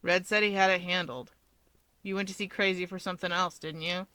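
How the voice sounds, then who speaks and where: Woman as sardonic young male story character, deadpan drawl, bright timbre, dry recording. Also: on the low side, brisk, no room sound. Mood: dry, needling. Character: dry, grumbling, sardonic young man, aloof.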